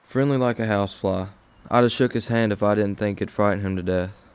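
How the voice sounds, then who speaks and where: A man's voice: lowish voice, steady tone, fairly quick, clear, resonant tone, lightly acted. A teenage boy in an amateur school radio play, acting a sardonic, grumbling young servant.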